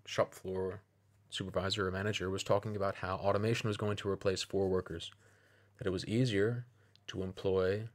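A man giving an opinionated video commentary, speaking in a measured, deliberate and persuasive way. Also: deep voice.

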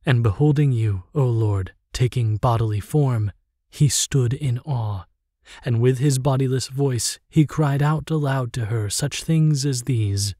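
A man's voice: mid-range voice, measured pace, warm tone, no room sound, studio quality. A man reciting a liturgical hymn in a reverent, formal, chant-like manner.

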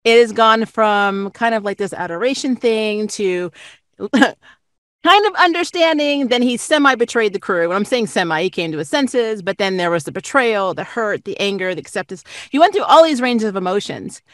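A woman's voice: medium pitch, lively intonation, normal speed, dry recording, studio quality. A young adult woman hosting a casual, enthusiastic fan interview over a video call. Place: indoor room, on a video call.

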